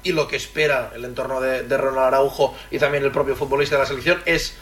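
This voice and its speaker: A man's voice: medium pitch, steady tone, fast, fairly bright tone. A man giving a conversational live sports report on the radio.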